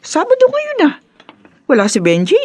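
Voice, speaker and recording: A Filipino woman acting as a sharp, fretful grandmother in a radio drama, brisk and full of questions. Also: extremely high pitch, wildly swooping pitch, slight background noise, heavily acted. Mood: suspicious, disapproving. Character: sharp, fretful grandmother, suspicious mother figure.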